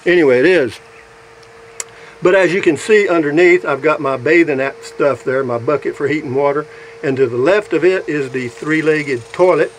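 A man's voice: on the high side, moderate pace, a little hiss or hum, rough recording. Folksy man rambling on a phone. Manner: folksy, rambling, keeps asking "okay?". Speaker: vlogger showing his camper build. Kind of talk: casual walkthrough. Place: in and around a pickup camper shell outdoors.

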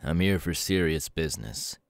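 Guy doing a serious hero mentor for asmr roleplay, really low voice, dry close sound. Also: animated, moderate pace, gravelly, no echo, exaggerated voice. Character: serious young male pro hero mentor briefing his protégé.

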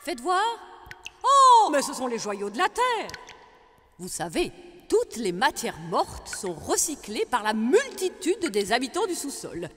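A woman's voice: high voice, huge pitch swings, a little slow, fairly bright tone, faint music behind, exaggerated voice. A woman voicing a motherly, doting plant in a children's cartoon, theatrical and effusive. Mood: grand. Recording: long echoing reverb.

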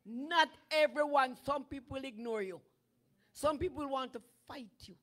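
A middle-aged woman preacher delivering a sermon from a church stage into a handheld microphone, rising from chatty storytelling to shouting. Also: high voice, moderate pace. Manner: animated preaching that builds to shouting. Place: church sanctuary with draped stage.

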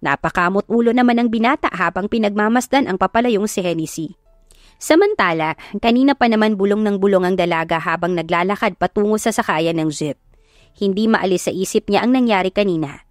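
A woman narrator reading a scripted romance audio drama in an expressive storytelling style. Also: mid-range voice, fairly quick, dry recording, studio quality. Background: music.

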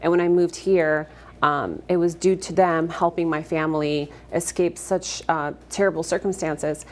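A woman in her thirties from Afghanistan, with a notably low voice, speaks earnestly and fluently with soft ums. She is a guest on a TV studio set, talking into a lapel mic. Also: steady tone, moderate pace. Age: 30s. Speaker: actress guest. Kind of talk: morning TV interview.

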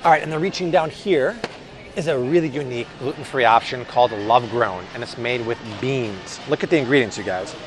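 Male vlog host, thirties, American, enthusiastic casual reviewer, recorded in a supermarket with clear background noise. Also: medium pitch, a little fast. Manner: upbeat, enthusiastic, chatty. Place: large supermarket aisle, indoors. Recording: clear store ambience and hum.

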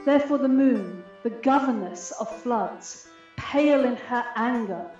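A proud, aggrieved fairy queen acted by a woman declaims Shakespearean radio verse in a stately, unhurried way. Her voice sits fairly high and rings with very heavy echo, slightly muffled, with music underneath. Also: a little mellow, natural voice. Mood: indignant. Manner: stately, measured, declamatory delivery.

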